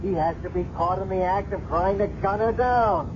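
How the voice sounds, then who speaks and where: A man's voice: high-pitched, normal speed, lightly acted. An actor playing a cautious, stern policeman arguing bluntly and emphatically in a 1970s radio drama. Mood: firm, exasperated. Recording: dull, noisy old radio recording.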